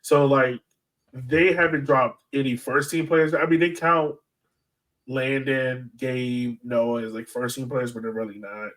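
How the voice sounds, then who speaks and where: A man's voice: mid-range voice, fairly even intonation, measured pace, a bit bright. American man chatting, off the mic. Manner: informal, peppers talk with "like". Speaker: sports podcast co-host. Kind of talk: casual chat. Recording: voice distant from the microphone.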